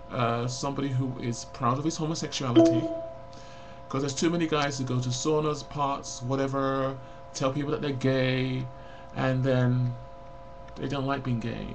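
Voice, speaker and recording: A man's voice: mid-range voice, creaky, poor audio. A man of forty talking frankly and bluntly to a webcam in a personal confessional vlog, rambling and reflective. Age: 40s. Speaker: vlogger.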